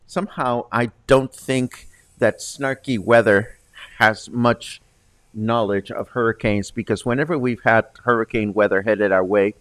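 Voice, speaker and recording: A man's voice: fairly low pitch, fairly even intonation, unhurried, a bit bright, dry recording. A man presenting as a show host in a chatty, conversational way with wry asides. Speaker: host presenting news and interviews.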